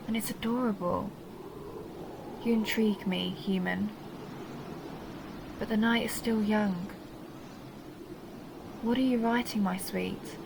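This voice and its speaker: A woman playing a seductive, possessive vampire countess in an intimate audio roleplay, speaking slowly and teasingly, often dropping toward a breathy whisper. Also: mid-range voice, background noise. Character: seductive possessive vampire countess toying with her human captive. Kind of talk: intimate dark romance audio roleplay, ASMR-like.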